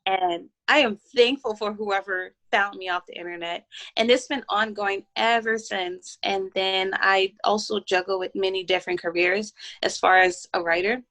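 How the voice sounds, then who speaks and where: A woman from Baltimore, Maryland, talking as an interview guest in a steady, self-assured way. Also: mid-range voice, moderate pace. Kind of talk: casual interview talk.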